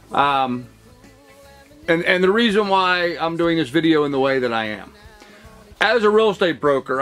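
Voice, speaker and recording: A man's voice: on the high side, a bit bright. A middle-aged man who works as a real estate broker, talking casually to the camera in a cluttered home office, rambling with lots of fillers and restarts. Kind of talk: casual talking-head vlog.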